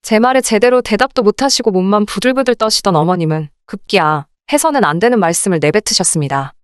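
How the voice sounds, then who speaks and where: A woman reading a scripted first-person story in an expressive, indignant radio drama style. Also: mid-range voice, fast, clean tone, no room sound, studio quality. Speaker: narrator of dramatized listener stories.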